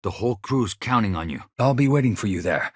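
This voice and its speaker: Earnest, husky, low-voiced male game engineer. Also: expressive, fairly quick, no echo, studio quality. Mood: calm, friendly. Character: earnest, grateful male engineer, haunted accident survivor. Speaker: voice actor in a video game. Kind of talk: video game dialogue acting.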